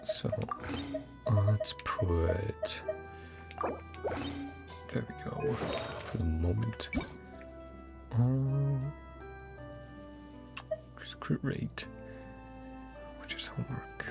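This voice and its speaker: A man streams a game in a slow, creaky voice that moves freely up and down in pitch. He comments casually and mutters to himself as he thinks, with music clearly playing behind him. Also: expressive.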